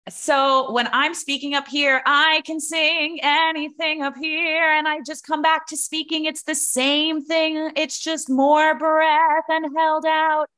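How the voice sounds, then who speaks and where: A woman's voice: sits high, little pitch movement, takes it slowly, hardly any pauses, sharp tone, soft background music. A young woman, a singing coach, chatting on a podcast and breaking into sung demonstrations. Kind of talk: casual podcast chat.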